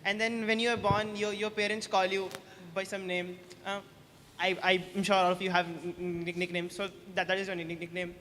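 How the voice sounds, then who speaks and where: A man's voice: high-pitched, flat delivery, pushed and bright, big-room sound, a little hiss or hum. A young man, a senior student, joking with freshers on stage in casual banter with many restarts. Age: young adult. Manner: joking, teasing, quick repeated restarts. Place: large hall on stage.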